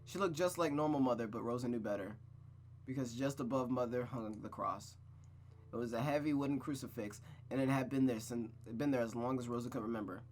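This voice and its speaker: A host reading a visual novel aloud, then breaking off into blunt, excited asides. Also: mid-range voice, fairly quick, faint music behind. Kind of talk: narration with casual reactions.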